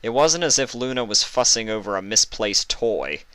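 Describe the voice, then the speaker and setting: Deep-voiced, crisp male story reader. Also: animated, moderate pace, bright, forceful tone, no echo. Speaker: story reader and channel host. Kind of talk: expressive scripted storybook narration with casual introduction.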